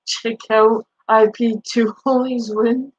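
A woman's voice: fairly high pitch, flat delivery, slow pace. A woman in her twenties with a Boston accent, trash-talking her chat on a live stream from a small bedroom through a headset mic. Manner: brash, profane trash talk. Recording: dull lo-fi stream audio.

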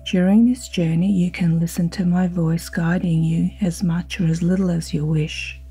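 A woman guiding a sleep hypnosis in a slow, soothing, soft-spoken manner with breathy phrase endings. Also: on the low side, steady tone, rough recording. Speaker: hypnosis guide. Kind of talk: guided sleep hypnosis narration. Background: ambient music with singing bowl tones.

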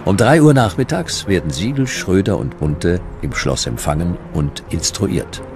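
A man's voice: deep voice, animated, a little slow, background noise. A man narrating a historical documentary from a script. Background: music.

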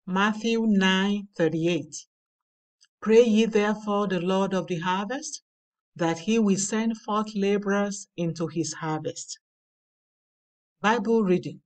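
A woman reading a daily devotional aloud in a measured, earnest way. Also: medium pitch, distant mic, poor audio. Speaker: devotional reader. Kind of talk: slow scripted devotional reading. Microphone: voice-over over slides.

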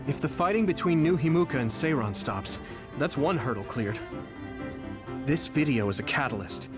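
A man plays a resolute young male soldier in an anime game. He speaks plainly and earnestly at a middle pitch, with a loud musical score behind him. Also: expressive, moderate pace, over music, natural voice. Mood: resolved. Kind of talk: English-dubbed anime game acting.

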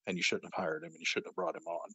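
Man, interview guest, deep voice, husky, indignant, matter-of-fact. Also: flat delivery, rapid-fire, bright, forceful tone, dry recording, poor audio. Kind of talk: recounting events in an interview.

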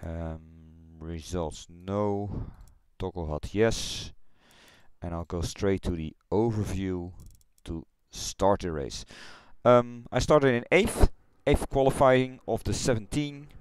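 A middle-aged man with a Dutch accent, chatting loosely through a replay of his racing game run, halting and correcting himself as he goes. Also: sits low, clear, resonant tone, no room sound. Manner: halting, rambling, self-correcting. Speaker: sim racing streamer. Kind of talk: casual replay commentary. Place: home gaming room.

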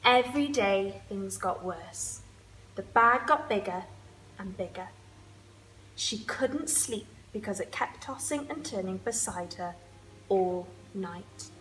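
A woman's voice: medium pitch, animated, slow, a bit bright, slight background noise, low-quality recording. A young woman storyteller reading a children's picture book expressively. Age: young adult. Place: indoors, room with wood-panelled wall and sofa.

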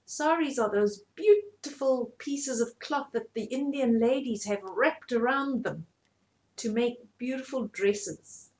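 A woman in her fifties reading a story aloud to children in an animated way, often asking the listener questions. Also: a little high, slow pace, low-quality recording. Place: small indoor room at home.

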